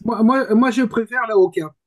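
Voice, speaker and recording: High-voiced man, casual panel guest. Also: moderate pace. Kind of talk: casual chat.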